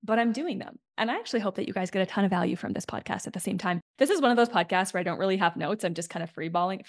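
A woman hosting an unscripted solo podcast as a coach. She sounds slightly raspy from a chest infection and talks candidly and reflectively, often checking in with "right?". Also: mid-range voice.

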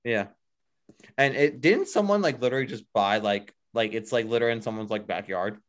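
A man's voice: mid-range voice, brisk. A man co-hosting a casual podcast chat, rambling with lots of fillers and restarts. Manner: rambling, filler-heavy, repeats himself. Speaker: podcast co-host.

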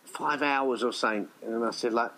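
A man's voice: mid-range voice, fairly quick, pushed and bright, slight background noise. A man talking casually as a filmmaker guest in an interview, in a rambling way with quoted asides. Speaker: indie filmmaker, podcast guest.

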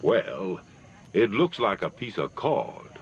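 Brief: a deep-voiced, evasive old Southern colonel in a radio play, muffled and off-mic. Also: male voice, very animated, slow pace, a little hiss or hum. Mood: guarded. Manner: stiff, indignant denials. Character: older American colonel with walking stick, evasive. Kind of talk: radio drama acting.

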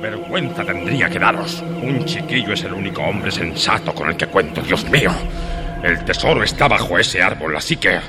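A man's voice: deep voice, expressive, moderate pace. A man playing a menacing, shrewd pirate leader in a radio drama, commanding and sarcastic in Spain Spanish. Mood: angry commanding. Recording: loud music behind the voice.

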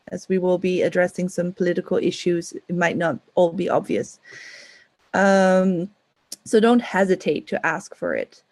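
A woman moderating an online talk over a video call, speaking with frequent pauses and fillers. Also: lowish voice, no room sound. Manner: hesitant, many ums and uhs.

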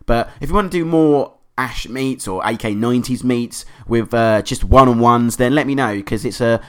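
A man hosting a podcast, hoarse from a cold, chatting in an enthusiastic, rambling way. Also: medium pitch, normal speed, a bit bright. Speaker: podcast host. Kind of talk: casual podcast monologue.

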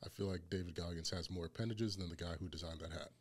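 A man with a deep baritone voice hosting a casual barbecue podcast, joking and laughing through the banter. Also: steady tone, fast talker. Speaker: podcast host.

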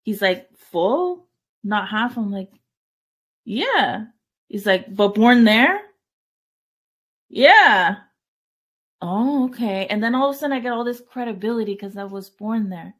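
A woman's voice: medium pitch, a little slow, long pauses. A woman, chatting casually and telling stories with quoted dialogue and occasional Spanish. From Canada, born in El Salvador. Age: 40s. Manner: animated retelling with quoted dialogue. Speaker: podcast co-host. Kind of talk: casual conversational storytelling with some Spanish mixed in.

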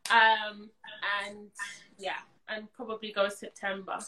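A woman's voice: medium pitch, level tone, takes it slowly, pushed and bright, poor audio. A woman chatting casually and earnestly about her work as an event organiser, often saying "you know". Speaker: event organiser being interviewed. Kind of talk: casual chat.

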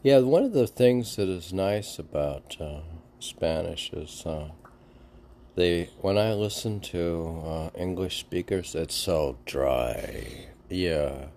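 A man reading poetry aloud with halting, musing, informal commentary, often answering his own questions. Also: sits low, expressive, dark tone, slight background noise. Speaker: reader and commentator of mystic poetry.

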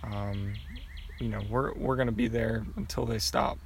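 A man's voice: lowish voice, fairly even intonation, moderate pace, creaky, slight background noise. A young man from Pennsylvania, answering interview questions in a loose, rambling way with many ums and restarts. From Pennsylvania, USA. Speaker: guest.

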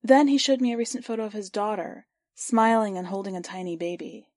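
A woman reading a first-person literary short story aloud for a podcast, in a measured, clear storytelling voice. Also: medium pitch, darkish tone, dry recording. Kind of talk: scripted narration of literary fiction.

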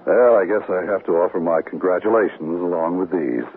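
Gravelly, suave, possessive old flame. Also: male voice, low voice, brisk. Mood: needling, curious. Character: Tom, smooth, possessive old flame of a married woman. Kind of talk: 1970s radio drama acting. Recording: muffled, noisy old radio broadcast.

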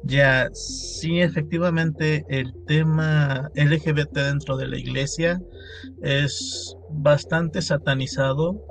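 Slow-speaking Mexican man in his thirties. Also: a little high, fairly even intonation, vocal fry, soft background music. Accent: Mexican Spanish. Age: 30s. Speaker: podcast guest. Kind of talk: casual podcast conversation.

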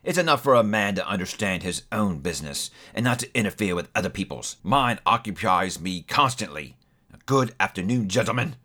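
Man, medium pitch, very animated intonation, audiobook-style narration, theatrical, measured storytelling. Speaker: book reviewer reading aloud.

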